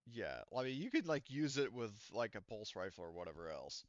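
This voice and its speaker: A man's voice: on the low side, big pitch swings, brisk, no room sound. A man running a tabletop roleplaying game as game master, narrating in a casual, colloquial way. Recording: dull, lo-fi sound.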